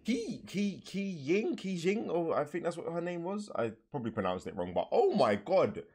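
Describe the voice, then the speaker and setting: A man's voice: on the high side, moderate pace. A young man giving casual, exclamatory live reaction commentary, slangy and full of swearing. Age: young adult. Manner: expressive, exclamatory, slangy, profane. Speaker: reaction video host. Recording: background game music under voice.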